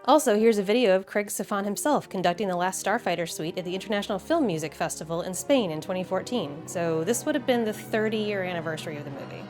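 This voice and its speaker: A woman's voice: medium pitch, fast, hardly any pauses, over music. A woman hosting a video essay in a casual, conversational and enthusiastic way, with wry asides.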